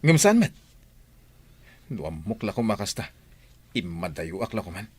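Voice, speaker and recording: Low-voiced blunt, stern village man. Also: sing-song, a little slow, husky, heavily acted. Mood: angry. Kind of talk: Ilocano radio drama acting.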